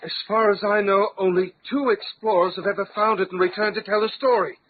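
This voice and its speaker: An actor playing a nervous, well-spoken jungle explorer with a stammering, apprehensive manner in an old-time radio adventure serial. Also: male voice, high voice, steady tone, fairly quick. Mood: uneasy. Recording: tinny band-limited radio recording, faint noise.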